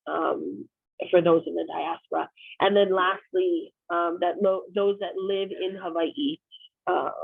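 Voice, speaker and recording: Woman host, 30s, video call, dry tinny audio, gravelly edges, casual and frank. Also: on the low side, no room sound. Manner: conversational and candid, frequent "um". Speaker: discussion host. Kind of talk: hosting an online group discussion.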